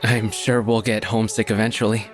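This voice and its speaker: A man's voice: on the low side, flat delivery, a little fast, over loud music, lightly acted. An actor playing a weary, resolute young man in an audio drama, serious and understated.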